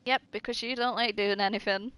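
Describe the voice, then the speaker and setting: A woman's voice: mid-range voice, steady tone, a little fast, fairly bright tone, smooth. A woman streaming a video game, giving casual, reactive commentary and playfully heckling the characters. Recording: game music under the voice. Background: video game music and sound.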